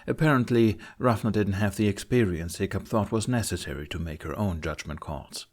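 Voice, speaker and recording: A man's voice: on the low side, moderate pace. A man reading a fantasy audiobook as scripted narration.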